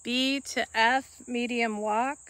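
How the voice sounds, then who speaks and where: A woman calling out the movements of a dressage test in short, clipped commands with pauses between them. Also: fairly high pitch, steady tone, fairly bright tone, no room sound.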